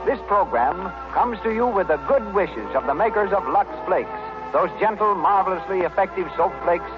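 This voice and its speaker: A 1930s American radio announcer, a man with a high-set voice and a grand, declamatory delivery. He reads scripted copy formally. Music plays clearly behind him on a muffled old broadcast recording. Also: expressive, moderate pace.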